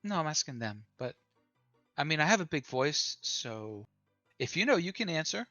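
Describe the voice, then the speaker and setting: A player in a tabletop game, talking slowly and hesitantly with playful jokes. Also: mid-range voice, very animated, a bit bright, no echo, muffled recording, faint music behind. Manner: slow, joking, hesitant. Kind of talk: casual tabletop game chat.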